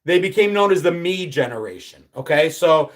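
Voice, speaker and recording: A man's voice: a little high, animated, normal speed. A man in his fifties from the United States hosting a live stream, chatting casually, reading out viewer comments and rambling with many restarts. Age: 50s. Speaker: livestream host.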